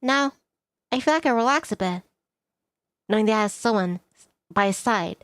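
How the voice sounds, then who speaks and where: A woman's voice: a little high, expressive, moderate pace, fairly bright tone, no echo. A woman streamer narrating a visual novel playthrough, reading the story and dialogue aloud expressively.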